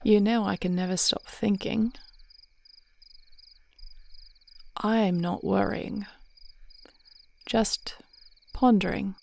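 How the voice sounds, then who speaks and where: A woman playing a devoted warrior princess in an intimate fantasy audio roleplay, speaking in measured, formal phrases with a reassuring, thoughtful manner. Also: medium pitch, vocal fry. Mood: tender, relaxed. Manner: measured, articulate, formal old-fashioned phrasing.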